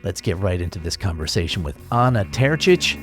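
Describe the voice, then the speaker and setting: A man's voice: sits low, sing-song, a little fast, a bit bright, slightly rough, faint music behind. A man hosting a podcast interview, friendly and joking. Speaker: podcast host.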